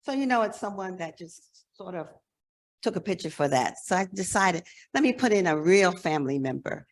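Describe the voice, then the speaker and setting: An American woman in her sixties with a slightly low voice speaks as a presenter to a group. She talks frankly and conversationally, stressing her key points with conviction. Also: animated, normal speed. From New Jersey, United States. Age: 60s. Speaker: presenter, nonprofit executive director. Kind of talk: conference presentation.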